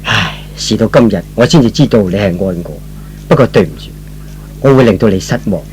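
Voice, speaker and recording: A man's voice: on the low side, expressive, a little fast, a little mellow, faint music behind, pitched below the performer's own voice, close to an everyday voice, low-quality recording. A man playing an earnest, principled young employee with a hesitant, deferential manner, in old-fashioned radio drama style. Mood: regretful.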